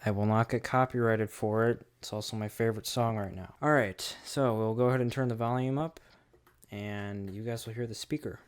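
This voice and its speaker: Clear-voiced man casually reviewing gadgets. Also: on the low side, steady tone, a little fast. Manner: informal, rambling, addresses viewers. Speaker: host of a gadget review series. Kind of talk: casual hands-on product demo.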